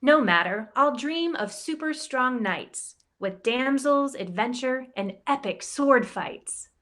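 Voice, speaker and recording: A woman reads a rhyming children's book aloud in a slightly high voice. She takes her time over each line, with a playful, expressive lilt that lets the rhymes land. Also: slow pace. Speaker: campus ministry director reading a picture book. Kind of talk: storybook read-aloud in rhyme.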